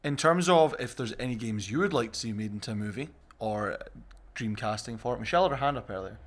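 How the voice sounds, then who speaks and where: A man's voice: medium pitch, animated, fast. A man talking casually on a podcast panel, prompting the others with questions. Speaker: podcast panellist, game shop staff.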